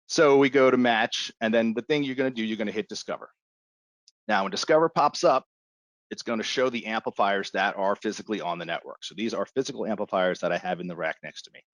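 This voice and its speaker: A man with a fairly low voice teaches a piece of software step by step. His delivery is informal and explanatory, like an instructor walking a class through it. The sound is dry and close to the mic. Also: fast, no room sound. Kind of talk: software tutorial, live walkthrough.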